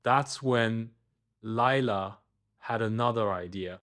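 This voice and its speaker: A man's voice: lowish voice, slow, fairly bright tone. A man reading a scripted children's bedtime story as its narrator.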